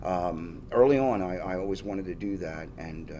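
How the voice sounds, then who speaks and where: A man in his sixties with a lowish voice, a Finnish speaker from childhood, talking through his life story in a loose, rambling interview chat. He laughs easily and trips over his restarts. A faint hiss of background noise sits under his voice. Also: normal speed, mellow. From United States, Pennsylvania area. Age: 60s. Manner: rambling and good-humored, laughs often, frequent restarts. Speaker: guest, drummer.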